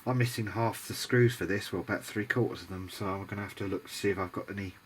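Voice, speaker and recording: A man's voice: on the low side, fairly even intonation, fast, slight background noise. A British man giving a casual, muttering commentary as he works on a repair, mildly grumbling and self-deprecating. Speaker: hobbyist electronics video diarist.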